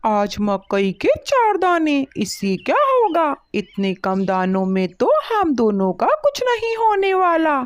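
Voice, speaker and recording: Woman playing a high, complaining crow husband. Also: huge pitch swings, slow pace, few pauses, exaggerated voice. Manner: complaining, emphatic. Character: hardworking, fed-up male crow husband. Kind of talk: Hindi animated moral story dialogue.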